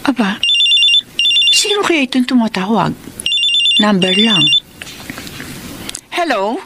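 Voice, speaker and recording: A woman's voice: fairly high pitch, sing-song, slow, heavy background noise, heavily acted. A woman acting a blunt, irritated young nursing student in a Filipino radio drama, with a brash manner. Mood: curious. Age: young adult.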